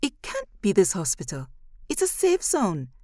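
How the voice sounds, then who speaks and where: A woman's voice: medium pitch, huge pitch swings, moderate pace, dark tone, no room sound, clean studio sound, pitched above the performer's own voice. A woman voicing a frightened, grieving young woman in an anguished, pleading way for an audio story. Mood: panicked disbelief. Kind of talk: dramatic audiobook character voice.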